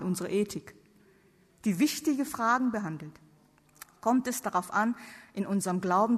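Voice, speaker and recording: A woman who is a non-native German speaker preaches theology to an audience with emphatic rhetorical questions. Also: medium pitch. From outside Germany. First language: not German. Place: large reverberant hall before seated audience. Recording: long reverb of a large hall.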